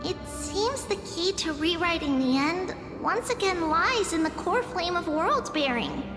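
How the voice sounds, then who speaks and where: A woman voicing an earnest young heroine in a game, planning deliberately to save her doomed world. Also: very high-pitched, sing-song, full character voice. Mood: grave, resolute. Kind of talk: game voice acting. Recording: echoey long reverb, clear music behind.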